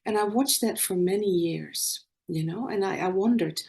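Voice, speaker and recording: A woman's voice: mid-range voice, moderate pace, gravelly, a little mellow. A woman in her sixties with a Dutch accent, giving an earnest, storytelling conference talk over a video call. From Netherlands. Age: 60s. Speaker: conference speaker, nurse.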